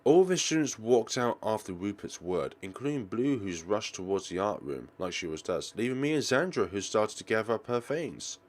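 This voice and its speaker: A man hosting a let's play, reading visual novel narration aloud in a theatrical, expressive way. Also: fairly low pitch, normal speed, hardly any pauses.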